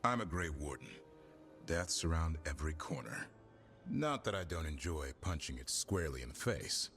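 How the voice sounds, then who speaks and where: A man playing a gruff, stoic but caring warrior and creature keeper in a video game cutscene, terse and dryly humorous. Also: rumbling low, big pitch swings, normal speed, a bit bright, full character voice. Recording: music behind.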